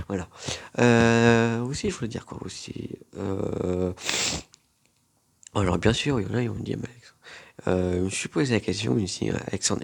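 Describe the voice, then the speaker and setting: A man's voice: on the low side, gravelly, a little mellow. A young man with a cold, rambling casually to camera as a YouTuber, with lots of fillers and repetitions. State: has a cold.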